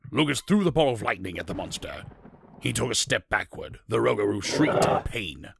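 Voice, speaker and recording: A man with a husky, gravelly voice narrating a scripted fantasy story. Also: lowish voice, big pitch swings, moderate pace, slight background noise.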